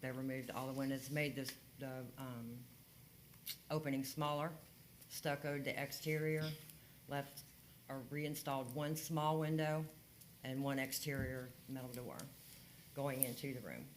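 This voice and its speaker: A man's voice: medium pitch, steady tone, unhurried, a little hiss or hum. A man working as a code enforcement officer, reporting his findings at a county hearing in a plain, matter-of-fact way with frequent fillers.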